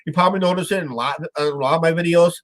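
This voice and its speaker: A man's voice: fairly high pitch, very fast. A man from Iowa hosting a casual live-stream chat, with a stuffed-up nose. He rambles and halts, trailing off with "and that". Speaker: show host.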